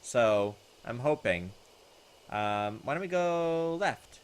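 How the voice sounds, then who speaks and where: A man's voice: medium pitch, animated, takes it slowly, slight background noise. A man hosting a let's play, reading visual novel text aloud and chatting in a hesitant, thinking-aloud way. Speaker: gaming video host. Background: game music.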